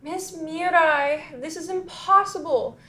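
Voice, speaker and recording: A frustrated schoolgirl, as a man puts her on in a table read. Her whiny voice sits very high, and she drags the words out slowly. The room adds echo. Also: bright, forceful tone, heavily acted. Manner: whiny complaining.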